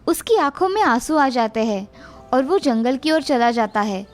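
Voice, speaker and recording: A woman's voice: sits high, expressive, normal speed, soft background music. A woman narrating a scripted children's fairy tale as storyteller.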